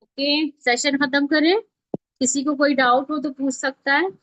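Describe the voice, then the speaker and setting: A woman's voice: sits high, fairly even intonation, a little slow, fairly bright tone. A woman instructor giving an informal live online tutorial, explaining step by step and often checking that learners follow. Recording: dull lo-fi online call audio.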